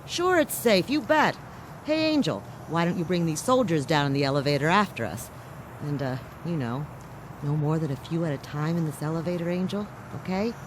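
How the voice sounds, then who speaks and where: A folksy, rambling colony worker played by a man in a radio play. He is apologetic and eager to please, with a clear layer of machinery noise around him. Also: fairly high pitch, expressive, moderate pace, lightly acted. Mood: nervously obliging. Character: chatty, eager-to-please operator at a shabby space colony. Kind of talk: radio drama acting.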